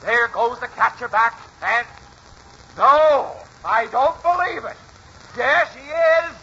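Excitable high-pitched 1940s radio ballpark announcer. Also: male voice, husky, heavily acted. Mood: excited. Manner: suspenseful, drawn-out calls bursting into shouts. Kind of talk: 1940s radio play-by-play acting. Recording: noisy, muffled 1940s radio recording.

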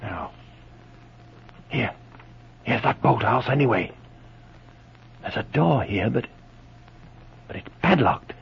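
Loyal marshal, wary, creaky voice, plain-spoken, noisy vintage radio serial. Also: male voice, medium pitch, expressive, slow pace, pauses often. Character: wary, loyal marshal, the inspector's male sidekick. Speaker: radio drama actor. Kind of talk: 1940s radio adventure serial acting. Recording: muffled, noisy old radio recording.

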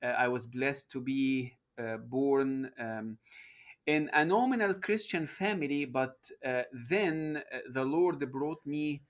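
A man who appears as a professor on an interview podcast. He talks in a measured, halting way and often pauses on fillers. His pitch stays in a plain middle range. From Egypt. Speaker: guest professor. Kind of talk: interview conversation.

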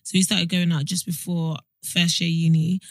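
A woman's voice: lowish voice, little pitch movement, moderate pace, no echo, studio quality. A British woman in her twenties co-hosting a podcast, telling a personal story in a candid, wry way. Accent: British English. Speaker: podcast co-host. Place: podcast studio.

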